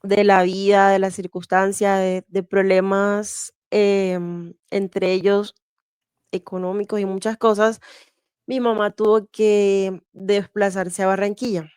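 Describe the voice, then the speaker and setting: A woman from Venezuela telling her childhood story in an interview, slow and even, with soft laughs and fillers. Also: medium pitch, clean tone, dry recording, clean studio sound. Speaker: interview guest sharing testimony. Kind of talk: candid personal testimony.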